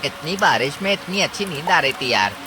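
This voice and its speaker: A man's voice: a little high, expressive, fairly quick, over music, close to an everyday voice. A man voicing a grumpy, sleepy male bird neighbour in a cartoon story, grumbling and reluctant. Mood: grumpy, irritated. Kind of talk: Hindi cartoon story dialogue. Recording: clear rain and ambience noise.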